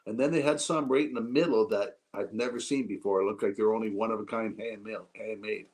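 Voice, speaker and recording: A man's voice: on the low side, steady tone, fairly quick, a little mellow, slightly rough. A middle-aged man co-hosting a podcast in easygoing casual chat with short agreeing replies. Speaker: podcast co-host.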